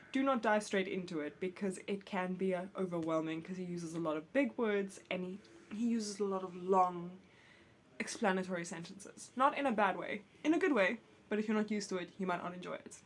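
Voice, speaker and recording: A woman's voice: mid-range voice, a little fast, slight background noise. A woman vlogger talking casually to camera about books, a bit phlegmy from a cold, candid and chatty with restarts. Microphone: camera mic, reading with books on her lap.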